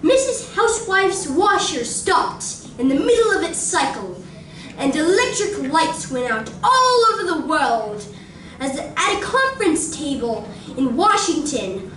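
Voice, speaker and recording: A woman narrating a radio drama in a theatrical, deliberate scripted style. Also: high voice, very animated, fairly bright tone, full character voice. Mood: solemn, dramatic. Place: library community room, live performance. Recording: echoey community room, distant, noisy.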